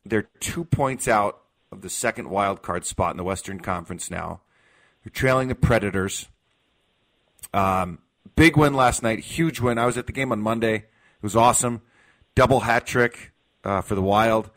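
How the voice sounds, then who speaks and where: A man's voice: fairly low pitch, moderate pace, a bit bright. An American man hosting sports talk radio, giving his opinions emphatically and repeating key phrases to drive them home.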